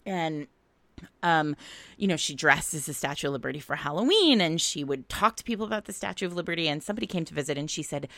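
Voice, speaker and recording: A woman in middle age hosts a casual chat in a fairly low voice with a bright, forward edge. The sound is dry and close. She tells anecdotes in an animated way, slipping into reenacted dialogue. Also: fast talker, dry recording. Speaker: podcast host. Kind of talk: casual conversational storytelling.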